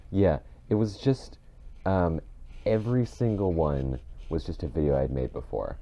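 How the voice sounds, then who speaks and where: A man's voice: low voice, unhurried, warm tone, dull audio. A man co-hosting a podcast, chatting casually. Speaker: podcast co-host.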